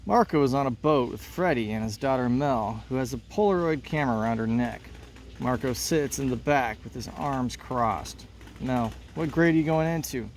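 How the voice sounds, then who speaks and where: A man with a mid-pitched voice narrates a play script aloud like a classroom teacher. There is clear background noise in the recording. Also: normal speed.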